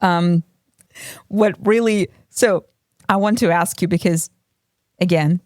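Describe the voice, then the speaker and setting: A woman's voice: fairly low pitch, takes it slowly, darkish tone, no room sound, clean studio sound. A woman from Russia with a Russian accent, co-hosting a casual book podcast and talking hesitantly, with many ums and restarts. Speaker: podcast co-host. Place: home room with a cat.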